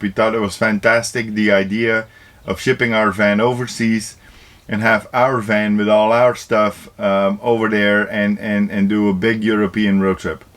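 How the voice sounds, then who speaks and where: A man's voice: on the low side, fairly bright tone. A man from Belgium in his forties, vlogging casually to a handheld camera in an upbeat, chatty way with fillers and restarts. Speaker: travel vlogger. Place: home kitchen and inside a camper van. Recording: music clearly under voice. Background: background music.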